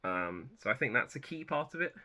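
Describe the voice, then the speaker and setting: A man in his thirties hosting a book channel, chatting casually about personal favourites in a relaxed, rambling way that often corrects itself. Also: mid-range voice, fairly quick. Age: 30s. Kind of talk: casual personal chat to camera.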